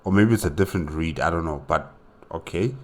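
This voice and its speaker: A man giving casual, unscripted reaction commentary, musing in a hesitant, halting way. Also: low voice. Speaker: reaction video host.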